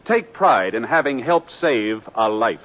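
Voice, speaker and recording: A man making an earnest, measured public service announcement as a radio announcer. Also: mid-range voice, animated. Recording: dull old radio recording.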